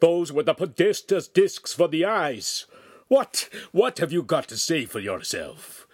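A man voicing a furious big master craftsman in an audiobook, shouting with menace. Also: on the high side, very animated, unhurried, slightly rough, no echo, clean studio sound, voice pushed up, exaggerated voice. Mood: enraged.

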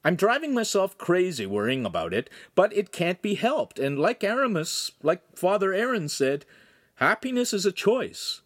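Scruffy storybook teen boy, played by a man: excitable, pleading, pitched high, very mobile. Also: moderate pace, slightly rough, no room sound, clean studio sound, exaggerated voice. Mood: resigned. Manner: pleading, exclaiming.

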